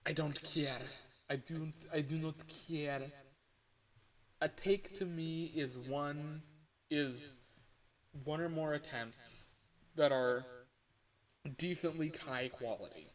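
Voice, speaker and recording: A man chatting casually as a livestreamer, rambling and halting, often correcting himself and sometimes swearing. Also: a little high, poor audio. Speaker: livestreamer recording voice lines.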